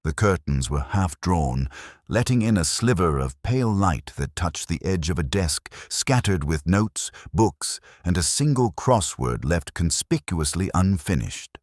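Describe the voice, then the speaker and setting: A man playing a melancholy, observant detective, narrating a mystery in a measured, literary, deliberate manner. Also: low-pitched, very animated, darkish tone, no echo, studio quality, full character voice. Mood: brooding, observant. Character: melancholy, observant older male detective narrating his case. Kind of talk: scripted first-person mystery narration.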